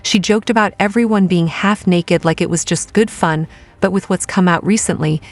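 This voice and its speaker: A woman's voice: lowish voice, moderate pace, no echo. A woman delivering scripted gossip narration in a dramatic, conversational way.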